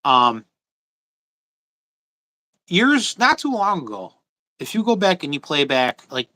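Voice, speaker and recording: A man's voice: fairly high pitch, very animated, takes it slowly, pushed and bright, poor audio. A man hosting a casual livestream off the cuff, speaking bluntly and with strong opinions, repeating his phrases for emphasis. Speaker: host of a livestream.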